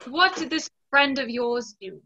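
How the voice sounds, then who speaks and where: Casting: disillusioned young lady, played by a woman, high clear-edged bright voice, formal reproach, slightly muffled call audio. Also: lively intonation, unhurried, exaggerated voice. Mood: guarded, questioning. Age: 20s. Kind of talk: stage play read aloud over video call. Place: small plain room at home, on a video call. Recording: dull, lo-fi video call audio.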